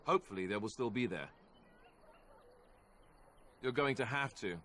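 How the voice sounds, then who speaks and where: A man's voice: mid-range voice, animated, normal speed, a bit bright, slight background noise, close to an everyday voice. Sardonic young male warrior, played by an actor. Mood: earnest, uncertain. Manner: dry sarcasm, hesitant and conversational. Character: young male warrior, sarcastic, self-deprecating, earnest companion. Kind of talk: fantasy video game dialogue acting.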